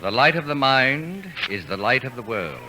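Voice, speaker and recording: An actor playing a pompous, self-satisfied male novelist with deadpan, precise formal diction in an old radio comedy. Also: mid-range voice, normal speed, natural voice. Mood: haughty, deadpan. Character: haughty self-declared genius, an imperious male novelist. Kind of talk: 1940s radio comedy acting. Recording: noisy old radio broadcast recording.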